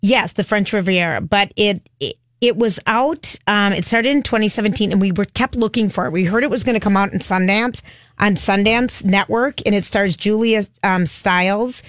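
Middle aged lady co-hosting, just chatting, normal pitch, no room echo. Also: moderate pace, studio quality. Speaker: talk show co-host. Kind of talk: casual chat.

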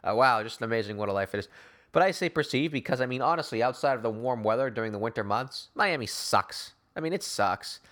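A man with a mid-pitched voice hosts a basketball talk show. He argues his opinions emphatically, rambling from point to point and slipping in sarcastic asides. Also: animated, brisk, a bit bright. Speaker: sports talk show host.

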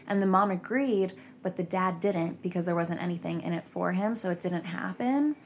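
A young woman hosts a chatty gossip podcast with a gravelly, creaky voice. She sprinkles her talk with "like" and keeps an easy, casual tone. A faint hiss runs under the recording. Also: lowish voice, moderate pace. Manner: chatty, many "like" fillers. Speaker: podcast host.

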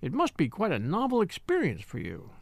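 A man voicing a shrewd doctor's wife with a brisk, dry way of speaking. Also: high-pitched, huge pitch swings, vocal fry, no echo, full character voice.

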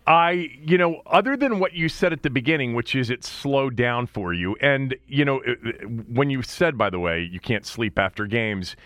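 A man's voice: medium pitch, a bit bright, dry recording, studio quality. A man hosting a sports radio show in a casual, conversational way, often breaking off into asides.

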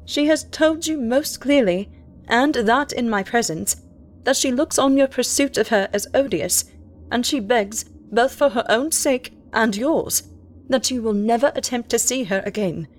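A woman's voice: a little high, a little slow, voice pushed up, lightly acted. A woman doing an indignant, firm young woman.

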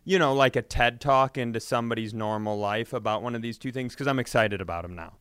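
A man chatting casually as a comedy podcast co-host, telling self-mocking, sweary stories in an animated way. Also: mid-range voice, fast talker, clean tone, dry recording.

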